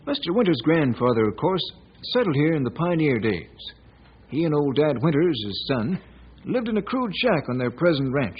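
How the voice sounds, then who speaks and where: An actor playing a polite, earnest bank president with a husky, raspy voice and formal manner in an old radio western. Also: male voice, fairly high pitch, big pitch swings, moderate pace, slight background noise. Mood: storytelling. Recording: dull old radio recording.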